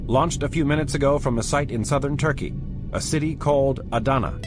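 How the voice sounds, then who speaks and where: A man's voice: mid-range voice, a little fast, music in the background, voice pushed up, lightly acted. A man voicing a dutiful male chief of staff who reports politely and deferentially.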